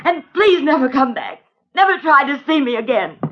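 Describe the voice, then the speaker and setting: A guarded, nervous noir woman with very animated pitch. She is clipped and defensive in the theatrical manner of 1940s radio drama. The old recording sounds dull. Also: moderate pace. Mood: urgent, pleading.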